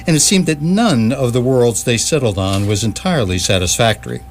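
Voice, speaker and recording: A man's voice: medium pitch, lively intonation, a little fast. Male narrator, grandiose comic storyteller, very varied intonation, faint hum behind. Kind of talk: scripted comic storytelling narration for radio theatre. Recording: stage performance with faint hum.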